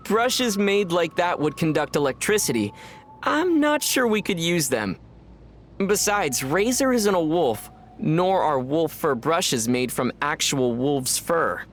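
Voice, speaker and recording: An actor playing a calm young male traveler hero in a video game who answers in a dry, deadpan way. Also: on the high side, slow, a little hiss or hum. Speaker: player character. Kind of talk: video game character banter.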